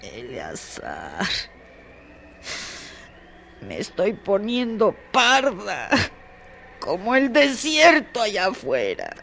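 A woman's voice: medium pitch, gravelly, a bit bright, slight background noise, heavily acted. A woman playing a bitter, ailing, ageing woman in a radio drama, theatrical and venomous.

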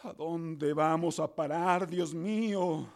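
An actor playing an angry, alarmed older royalist father in a radio drama, blustering with a creaky, gravelly voice. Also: fairly high pitch, slow. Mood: alarmed, outraged. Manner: blustering, indignant.

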